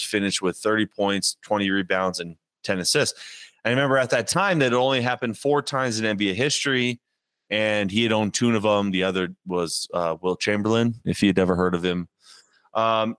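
A man with a fairly low voice co-hosting a sports chat, talking casually with a joking, animated streak. The sound is dry and close, with no room around it. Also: clear, resonant tone, no room sound. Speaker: podcast co-host.

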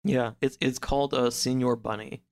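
Man, podcast guest, mid-range voice, halting delivery, casual book chat. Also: medium pitch, fairly even intonation, darkish tone, smooth.

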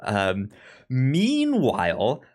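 A man's voice: mid-range voice, huge pitch swings, drawn-out words, darkish tone. A man hosting a podcast in a casual, conversational way, often stammering and repeating syllables as he goes. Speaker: podcast host.

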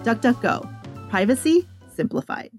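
A woman's voice: on the high side, animated, a little slow, darkish tone. A woman announcer delivering a scripted promotional read. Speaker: announcer and presenter. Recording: music behind the voice.

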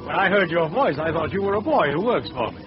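A man's voice: fairly high pitch, very animated, fast, music in the background. A man acting a flustered, affable boss in a hesitant, fussy way in 1940s radio comedy. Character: flustered, affable middle-aged male boss. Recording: noisy, dull old radio recording.

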